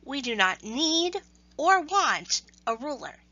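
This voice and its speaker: A little storybook mouse, voiced by a woman, polite yet firm and outspoken. The voice is raised in pitch and bright, recorded dry. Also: big pitch swings, takes it slowly, no room sound, dull audio, exaggerated voice. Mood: firm protest. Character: small, plucky, outspoken mouse in a folktale.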